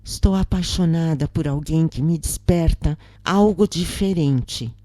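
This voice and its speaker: A woman's voice: sits low, a little slow, dark tone, no echo, clean studio sound. A woman voicing a frank, detached wife in a dramatised story reading. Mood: cool, frank. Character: detached wife falling out of love, frank, cool.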